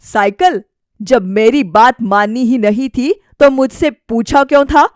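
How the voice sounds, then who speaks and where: A bossy, irritated husband acted by a woman, loud and complaining, in a spoken family story. The sound is close and dry. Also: on the high side, measured pace, dry recording, close to an everyday voice. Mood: annoyed.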